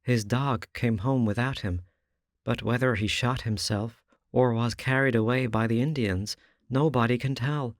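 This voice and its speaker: A man voicing a young mother who speaks gently and sadly. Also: mid-range voice, fairly even intonation, measured pace, no echo, clean studio sound, pitched above the performer's own voice, close to an everyday voice. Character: young mother, fresh and comely.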